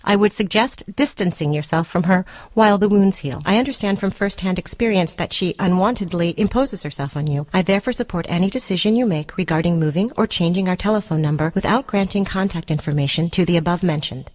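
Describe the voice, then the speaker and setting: A woman's voice: fairly low pitch, fairly quick, hardly any pauses, darkish tone, no room sound, close to an everyday voice. A woman playing a controlling, self-righteous spurned girlfriend, reading her formal letter in a prim, clinical and condescending manner, as a radio short story. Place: radio studio.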